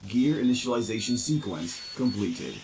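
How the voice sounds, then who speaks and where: A man playing a calm, obedient mech AI in a video game, making formal, emotionless announcements. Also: medium pitch, normal speed, slightly rough, poor audio. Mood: impassive. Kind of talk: anime visual novel voice acting.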